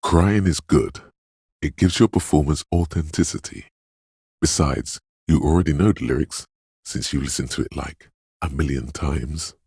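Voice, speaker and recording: A man playing a detective's ominous, dreamy intuition in a video game, speaking in a hushed, portentous, theatrical way with dramatic pauses and a gravelly, husky voice. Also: a bass voice, no echo, studio quality, full character voice. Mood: urging, intense. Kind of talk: video game voice acting, second-person inner monologue.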